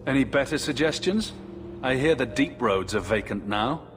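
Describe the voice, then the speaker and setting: A man's voice: mid-range voice, lively intonation, normal speed, close to an everyday voice. A man playing a wry, wary young mage in a fantasy game, asking questions in a dry way. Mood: dry, skeptical. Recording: game dialogue under clear music.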